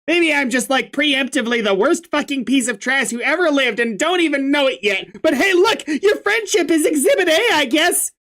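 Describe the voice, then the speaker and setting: A reader doing a high, ranting troll. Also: animated, normal speed, hardly any pauses, a bit bright. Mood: irritated. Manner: ranting, insulting, exasperated. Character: shouty irritable young male troll. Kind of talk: reading a webcomic aloud with voices.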